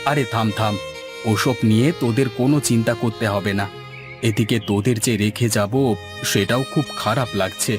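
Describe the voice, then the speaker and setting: A man's voice: on the low side, steady tone, normal speed, close to an everyday voice. A man playing a caring, urgent village farmer and father, explaining things insistently in a homely cartoon style. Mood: concerned. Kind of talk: Bengali moral-story cartoon acting. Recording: prominent music behind the voice.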